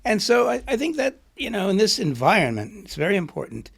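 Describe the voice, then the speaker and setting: A man's voice: sits high, very animated, brisk, creaky, breathy edge. A middle-aged man, an author and poet, being interviewed and thinking aloud with a reflective, rambling manner. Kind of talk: conversational interview.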